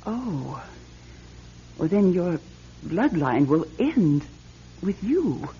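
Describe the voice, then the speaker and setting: An actress playing an earnest, insistent older woman who appraises antiques in a classic radio drama, with a raspy voice. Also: lowish voice, big pitch swings, drawn-out words, mellow, slight background noise, heavily acted. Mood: dismayed, insistent. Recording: dull, lo-fi old radio recording.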